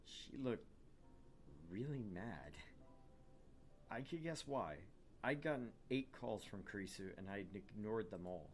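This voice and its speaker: A man's voice: fairly low pitch, lively intonation, unhurried, long pauses, a little hiss or hum. A man hosting a let's play, chatting casually and reading a visual novel's narration aloud. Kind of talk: gaming chat and first-person story read-aloud.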